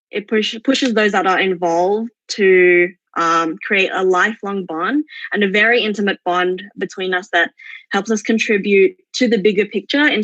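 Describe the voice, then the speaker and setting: A young Australian woman speaks hesitantly as a presenter at an online forum, stopping often mid-phrase. Her voice sits a little low. The sound is dry and close, with the slightly muffled, lo-fi quality of an internet call. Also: fairly bright tone, no echo. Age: 20s. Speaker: forum speaker, exchange program alumna. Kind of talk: semi-prepared talk at an online forum. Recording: dull, lo-fi online call audio.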